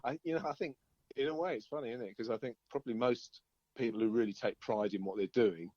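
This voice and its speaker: A man chatting informally as an interviewed musician, halting and circling back over his phrases. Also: mid-range voice, a little mellow, no echo, muffled recording. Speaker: band singer-guitarist being interviewed. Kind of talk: casual interview chat.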